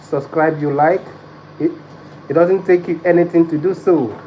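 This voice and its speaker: Higher-voiced Nigerian man livestreaming, repeating himself. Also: steady tone, normal speed. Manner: repeats phrases insistently. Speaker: live stream host. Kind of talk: casual livestream chat, greeting viewers. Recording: dull lo-fi live stream, background noise.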